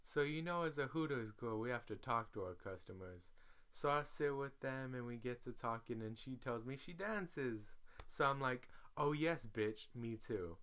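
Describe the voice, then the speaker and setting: Bright-voiced man reading a sassy story. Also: mid-range voice, clean tone. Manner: animated, sassy, slangy delivery with acted-out dialogue. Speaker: podcast reader. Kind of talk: dramatic reading of a viral tweet story.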